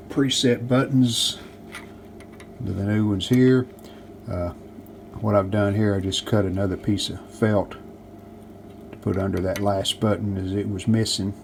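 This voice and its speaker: Slow, low-voiced man demonstrating repairs. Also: creaky, darkish tone, a little hiss or hum. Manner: unhurried, plainspoken, pausing mid-sentence. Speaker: host of a restoration how-to video. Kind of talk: casual hands-on demonstration narration.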